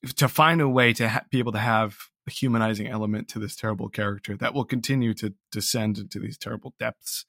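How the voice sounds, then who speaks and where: A man's voice: lowish voice, fairly even intonation, fast, gravelly, few pauses, fairly bright tone, no echo, clean studio sound. A man giving a casual, reflective interview as a creator, talking hesitantly and with self-deprecation. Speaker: interview guest, audio drama creator.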